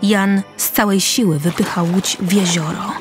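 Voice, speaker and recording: A woman narrating a radio drama deliberately, pausing between short phrases. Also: mid-range voice, fairly even intonation, music in the background.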